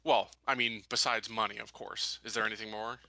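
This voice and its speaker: A man playing a tabletop game, talking casually and haltingly as he lays things out and seeks clarification. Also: lowish voice, flat delivery, vocal fry, sharp tone, no echo. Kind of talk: casual game-table talk with in-character questioning.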